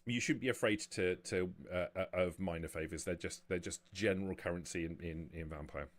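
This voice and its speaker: A man narrating and explaining a tabletop roleplaying game as game master, halting and often rephrasing as he goes. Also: low-pitched.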